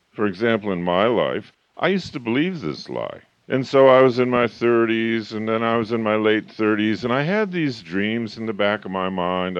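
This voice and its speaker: A man in his fifties or older speaks in a low, highly animated voice, pressing his points as a motivational coach. He stretches out key phrases for emphasis. The recording is dry and a little dull. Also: dry recording. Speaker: coach and author. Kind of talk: motivational talk. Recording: slightly muffled, dry recording.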